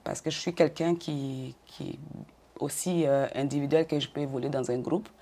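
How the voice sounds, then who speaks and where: Low-voiced older woman from Côte d'Ivoire. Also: steady tone, dark tone. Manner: measured, repeats words, brief laughs. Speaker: interview guest. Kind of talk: interview answers.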